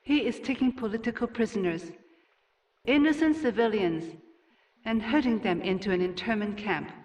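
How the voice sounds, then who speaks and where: A woman playing a stern, regal ruling noblewoman and mother in a video game, with a formal, measured, commanding manner. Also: a little high, darkish tone, recorded from a distance, close to an everyday voice, rough recording. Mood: cool, commanding. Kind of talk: scripted video game cutscene acting.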